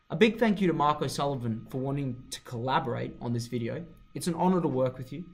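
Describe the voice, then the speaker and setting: Explainer narrator: man, slightly high pitch, animated melody, formal scripted teaching read. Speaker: narrator of an explainer video on football coaching.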